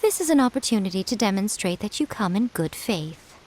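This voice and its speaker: A woman's voice: medium pitch, very animated, a little fast, a little mellow, slight background noise, close to an everyday voice. A woman voicing a sly, teasing shrine priestess in a video game, languid and mischievous. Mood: playful. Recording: studio voice with game music.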